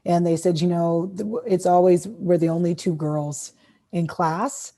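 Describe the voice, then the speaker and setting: Mellow-voiced woman moderator. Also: on the low side, fairly even intonation, measured pace. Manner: conversational, passes turns to panelists. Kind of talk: moderating a roundtable.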